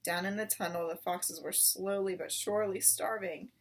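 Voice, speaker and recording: A woman's voice: mid-range voice, steady tone, normal speed, distant mic. A woman reading a children's book aloud as a storyteller. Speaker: storybook reader.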